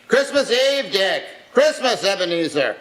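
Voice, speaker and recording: A jolly old merchant master, played by a man in a live radio play. His voice sits very high, and he hails everyone in hearty, exclamatory calls. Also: very animated, a little slow, a bit bright, exaggerated voice. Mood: jovial, bustling.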